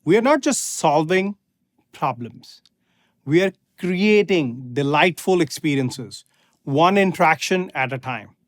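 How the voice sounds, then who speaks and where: Male customer spokesperson, slightly high pitch, scripted and measured, phrase by phrase. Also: expressive. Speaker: guest customer speaker. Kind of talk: scripted corporate testimonial.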